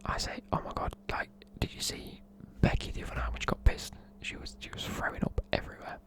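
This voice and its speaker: A person with a British accent whispering informal, chatty, matey explanations in an ASMR style. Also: a little fast. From United Kingdom. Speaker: presenter explaining slang.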